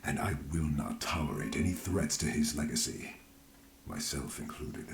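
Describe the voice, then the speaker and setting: A brooding, guarded man speaks in an extremely low, gravel-edged voice. His delivery is grave and formal, as in a dramatic fantasy game, with a roomy echo around it. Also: lively intonation, moderate pace, slight background noise, full character voice. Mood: brooding, confiding. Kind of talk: dramatic video game voice acting.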